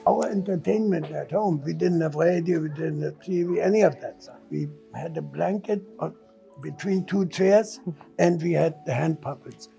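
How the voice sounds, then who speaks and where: An elderly man in his eighties with a German accent and a creaky voice, talking casually in a blunt, playful way. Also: fairly high pitch, normal speed, warm tone, soft background music. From Silesia, Central Europe. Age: 80s. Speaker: puppeteer and theater founder. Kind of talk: casual interview storytelling.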